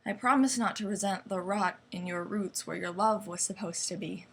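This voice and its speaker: A young woman recites slam poetry in a mid-range voice. Her delivery is deliberate and dramatic, leaning on weighted pauses between phrases. The recording carries a faint layer of noise. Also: mid-range voice, a bit bright. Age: young adult. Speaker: slam poet. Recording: clearly noisy amateur recording.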